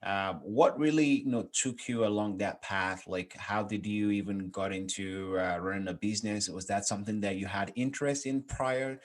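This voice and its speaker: A man's voice: fairly low pitch, moderate pace, few pauses. A man hosting his own interview podcast, upbeat and enthusiastic as he asks his guest questions. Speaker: podcast host.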